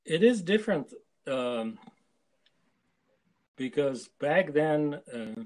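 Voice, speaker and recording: An older man from Czechoslovakia answering interview questions on a video call, hesitant and full of pauses. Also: fairly high pitch, very animated, a little mellow, poor audio. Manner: hesitant, with many pauses and fillers. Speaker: guest translator. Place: home room with photos on wall.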